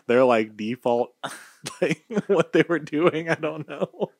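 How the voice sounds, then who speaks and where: A man's voice: a little high, animated, slow, no echo, studio quality. A giggly man chatting casually as a podcast co-host, often laughing as he talks. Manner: giggly, breaks into laughter while talking. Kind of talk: casual chat.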